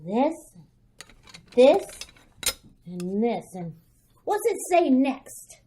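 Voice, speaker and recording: Excitable little girl, animated and high. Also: drawn-out words, slight background noise, full character voice. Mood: bossy, impatient. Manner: loud, definite, childishly eager. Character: excitable, impatient, headstrong little girl at play. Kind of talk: old-time radio drama acting.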